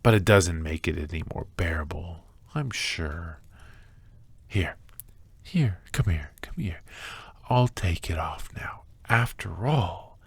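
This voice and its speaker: A man playing an obsessive, menacingly tender captor in an ASMR roleplay, speaking softly and intimately, often slipping into whispers. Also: sits low, vocal fry, husky, no room sound, heavily acted. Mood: soothing, possessive. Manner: soft, intimate, drawn-out, often dropping to whispers.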